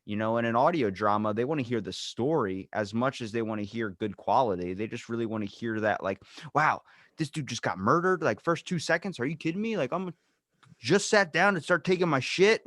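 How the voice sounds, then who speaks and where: A man's voice: medium pitch, expressive, a little fast, fairly bright tone, no echo, clean studio sound. A man hosting a podcast, talking casually and loosely with frequent swearing. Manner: loose, profane, ranting. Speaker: podcast host. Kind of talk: casual conversation.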